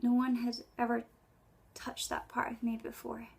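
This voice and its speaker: A woman in her twenties performing a spoken word poem in a breathy voice, with intimate, deliberate dramatic phrasing. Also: a little high, completely flat delivery, darkish tone, slight background noise. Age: 20s. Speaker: poet. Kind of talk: spoken word poetry recital.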